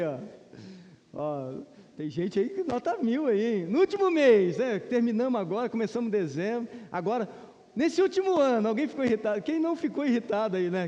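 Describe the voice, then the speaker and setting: A man's voice: sits high, warm tone, muffled recording. A man with a Brazilian accent giving an informal, conversational Bible lesson to a congregation, often turning to them with questions and rhetorical tags. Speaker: Sunday school teacher at a church. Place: large church room, speaking to a congregation. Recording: reverberant hall with long echo.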